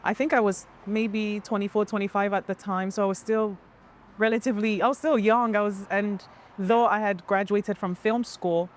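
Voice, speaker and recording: A woman in her thirties from Nigeria, a guest telling her story with earnest, expressive feeling, laughing often, seated in a restaurant. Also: medium pitch, moderate pace. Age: 30s. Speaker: guest filmmaker. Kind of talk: candid storytelling.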